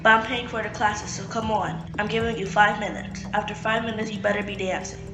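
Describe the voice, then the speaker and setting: A woman's voice: mid-range voice, steady tone, a little fast, over music, lightly acted. A woman playing a strict, impatient dance mom in an amateur roleplay drama, blunt and nagging, recorded in an echoey room. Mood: scolding.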